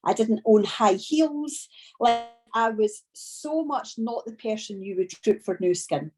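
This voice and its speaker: A woman from Scotland with a strong Scottish accent, giving a training talk on a video call and telling her story slowly and deliberately. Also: fairly high pitch, animated, a little mellow, soft background music, rough recording. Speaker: business trainer.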